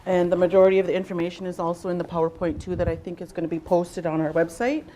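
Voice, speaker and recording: A young adult woman from Southwestern Ontario leading a workshop, speaking loudly to a room without a microphone and often pausing on "um" and "uh". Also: fairly low pitch, steady tone, a little hiss or hum. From Southwestern Ontario, Canada. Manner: loud voice, unamplified, frequent ums and uhs. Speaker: workshop facilitator, nurse navigator. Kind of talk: presentation to a workshop audience.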